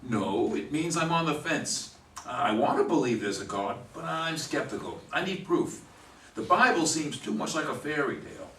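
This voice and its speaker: A man's voice: fairly high pitch, animated, moderate pace, a bit bright, echoey room. A jittery, sarcastic drunk who needs detox played by a man in a stage reading. He is hesitant and exasperated, with a swooping delivery, caught by a distant mic with faint noise. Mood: skeptical.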